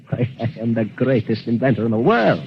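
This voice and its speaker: An actor playing a gloating, theatrical mad inventor villain in a vintage radio drama, sneering and cackling. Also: male voice, medium pitch, expressive, unhurried, highs cut off. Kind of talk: 1940s radio acting. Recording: scratchy old radio broadcast.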